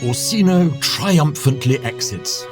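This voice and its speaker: A man narrating an audio drama in a measured, theatrical storytelling manner. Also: fairly high pitch, expressive, music in the background.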